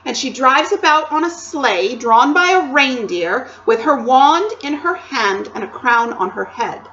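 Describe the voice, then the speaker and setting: A woman doing an excited little girl. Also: high voice, measured pace, lo-fi sound, pitched above the performer's own voice, exaggerated voice. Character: excited cheerful young girl.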